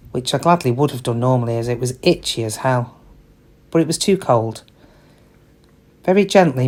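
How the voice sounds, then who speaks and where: A woman's voice: rumbling low, a little mellow. A middle-aged woman playing a downtrodden shop cashier in a reflective, confiding, plain-spoken dramatic monologue about her regrets. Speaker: actor in a monologue. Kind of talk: first-person dramatic monologue.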